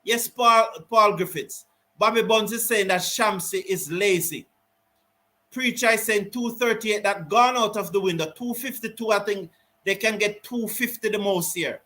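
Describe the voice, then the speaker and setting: A man giving live ball-by-ball cricket commentary and reading out viewer comments, excitable on big hits. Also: high-pitched, moderate pace. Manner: lively, rises excitedly on big shots, Caribbean English phrasing. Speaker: cricket watch-along commentator.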